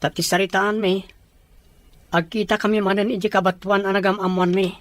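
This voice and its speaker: Lady voicing young village guy, mid pitch, troubled and pushing back, audio drama. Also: flat delivery, a little fast, close to an everyday voice. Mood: worried. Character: troubled, resistant young village man in love. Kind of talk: Ilocano audio drama acting.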